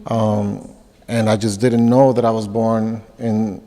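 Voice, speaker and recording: A man in his forties from Puerto Rico, whose first language is Spanish, speaking softly and thoughtfully as a guest in an interview. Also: on the low side, level tone, slow. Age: 40s. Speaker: guest, film director and author. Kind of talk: casual interview talk. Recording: echoey room with long reverb.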